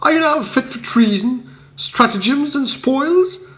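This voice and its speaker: A man voicing an excitable, indignant young upper-class English gentleman in a comic audiobook, florid and exclamatory. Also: very high-pitched, a little slow, roomy sound, pitched above the performer's own voice, heavily acted.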